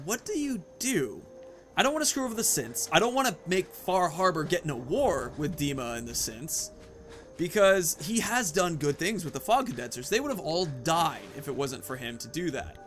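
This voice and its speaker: Male gaming host, higher-pitched and bright, casual think-aloud play commentary over music. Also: sing-song, moderate pace. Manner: thinks aloud, narrating own moves and choices. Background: video game music.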